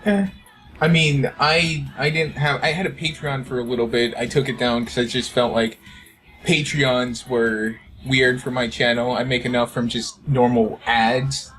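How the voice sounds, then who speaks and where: A man co-hosting a casual podcast, speaking in a halting, hesitant way. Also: mid-range voice, fairly even intonation, fairly bright tone. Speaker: podcast co-host. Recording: background noise on the mic.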